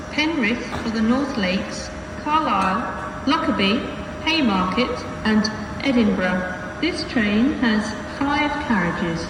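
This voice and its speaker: A British woman reading scripted train platform announcements in measured segments, listing stations over a station public address system. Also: on the high side, recorded from a distance. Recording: echoey station PA from afar, noisy ambience.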